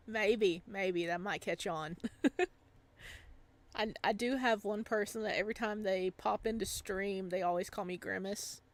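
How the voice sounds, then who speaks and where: A woman's voice: medium pitch, fairly even intonation, measured pace. A woman streamer with a chatty, giggly manner, talking casually to viewers while playing and reading aloud. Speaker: live streamer reading a visual novel aloud. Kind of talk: casual stream chat and playful read-aloud.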